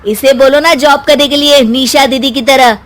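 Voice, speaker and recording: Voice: high-pitched, fairly even intonation, moderate pace, fairly bright tone. A performer voicing a defiant young daughter in a family melodrama, arguing with sarcasm. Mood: indignant. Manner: sarcastic, argumentative. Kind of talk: melodramatic Hindi family story dub.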